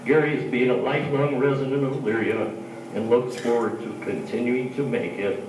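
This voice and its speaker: Loud folksy man in an echoey hall. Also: mid-range voice. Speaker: stand-in speaker for a council candidate. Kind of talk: public candidates-night speech. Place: large hall, heard from far off. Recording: echoey hall from far off, noisy.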